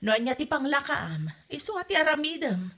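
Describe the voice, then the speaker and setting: A woman's voice: high-pitched, very animated, fairly quick, a bit bright. A woman playing a nagging, suspicious middle-aged mother in an audio comedy drama, with an Ilocano Filipino accent and a scolding, questioning manner. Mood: prying, motherly.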